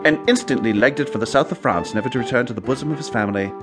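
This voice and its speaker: A man playing a foppish, idle young English gentleman in a radio comedy, with a posh accent and a breezy, chatty, easily flustered manner full of period slang. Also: medium pitch, very fast, over music, close to an everyday voice. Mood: breezy, confiding. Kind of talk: radio comedy acting with first-person narration.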